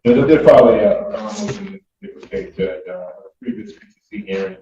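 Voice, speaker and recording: A man speaking as a defense attorney, arguing to a judge in a hesitant, deferential way with many halting pauses. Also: a little high, sing-song, gravelly, background noise. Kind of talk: courtroom argument to a judge. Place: courtroom. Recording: echoey, muffled, distant courtroom audio.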